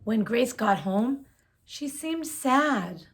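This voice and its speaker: Woman reading a picture book aloud, gentle and expressive, fairly high voice, slow unhurried pace. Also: husky. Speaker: children's story reader.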